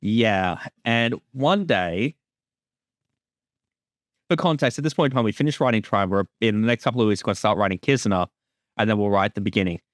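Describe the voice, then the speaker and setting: A man's voice: lowish voice, brisk, pauses often, dry recording, clean studio sound. A man giving a casual, jokey creator's commentary with informal language and some swearing.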